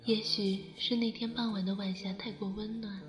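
A woman acting a gentle, wistful young student in an audio drama, speaking softly and reflectively. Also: medium pitch, level tone, moderate pace, reverberant, soft background music, lightly acted.

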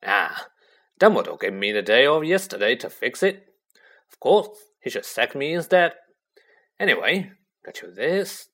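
A reader puts on a huge, soft-hearted storybook man. He is blunt and blustery, wears his feelings openly, and his pitch lurches about widely. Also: big pitch swings, measured pace, exaggerated voice. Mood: gruff, kindly. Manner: loud, blustery, emotional delivery.

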